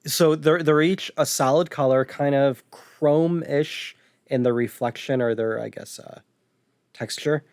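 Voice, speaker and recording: A man's voice: a little high, unhurried, a little mellow, smooth, no room sound. American man hosting a podcast, dry close sound. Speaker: podcast host. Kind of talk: casual podcast chat about retro gaming.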